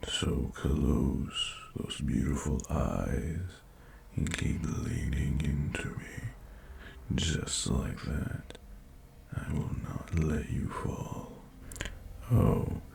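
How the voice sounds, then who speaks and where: A man playing an ancient dragon familiar in an intimate roleplay audio, seductive and possessive yet tender, murmuring formally in a gravelly, breathy near-whisper. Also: rumbling low, darkish tone, slight background noise, exaggerated voice. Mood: coaxing, tender.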